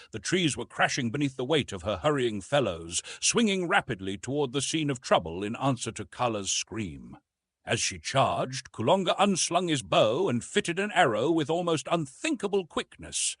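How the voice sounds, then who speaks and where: A man narrating an adventure novel as an audiobook, with expressive, dramatic storytelling. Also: mid-range voice, breathy edge, no echo, clean studio sound.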